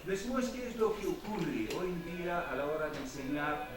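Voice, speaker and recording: A man whose first language is Arabic, giving a university lecture with hesitant pauses and restarts. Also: fairly high pitch, expressive. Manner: hesitant, with many fillers and restarts. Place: lecture hall. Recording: echoey hall, mic far off, noisy.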